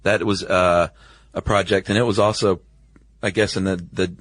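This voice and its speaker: A man with a fairly low voice chats as a podcast co-host. His delivery is casual and laid-back with some wryness. The recording is dry, with no room sound. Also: fairly even intonation, normal speed, fairly bright tone, dry recording. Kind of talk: casual chat.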